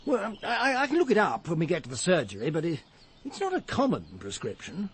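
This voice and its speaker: An actor playing a blustery, long-practising country doctor who speaks haltingly and excitably in a radio drama. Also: male voice, sits high, slightly rough, heavily acted. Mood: hesitant.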